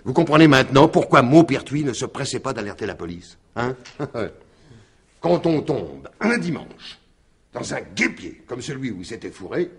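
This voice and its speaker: A man's voice: mid-range voice, sing-song, normal speed, breathy edge. An actor playing a shrewd, insistent detective in a French radio mystery in 1950s style, explaining his theory with emphatic deliberation. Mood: triumphant, accusing.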